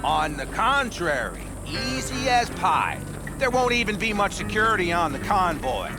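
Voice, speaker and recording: An actor playing a cynical, teasing veteran nomad smuggler in a video game, with a sardonic, animated delivery. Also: male voice, sits high, moderate pace, over music. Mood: wry, teasing.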